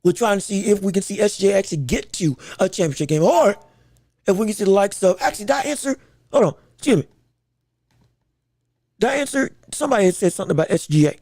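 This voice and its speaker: A man hosting his own sports podcast, talking with a scratchy voice, rambling excitedly with lots of fillers and restarts. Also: high-pitched, fast, no echo, studio quality. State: scratchy, strained voice. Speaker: podcast host. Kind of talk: solo sports and wrestling podcast chat.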